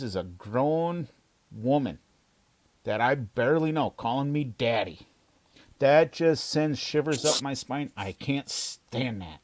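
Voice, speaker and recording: Casting: American man, casual co-host, frank and chatty. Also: mid-range voice. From United States. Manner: chatty, frank storytelling with bits of Filipino words. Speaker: podcast co-host. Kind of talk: casual chat.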